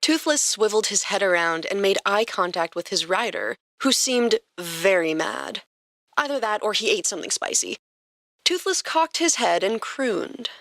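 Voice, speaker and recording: A woman hosting a podcast and reading a story aloud as expressive scripted narration. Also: mid-range voice, moderate pace, no room sound, clean studio sound. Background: music.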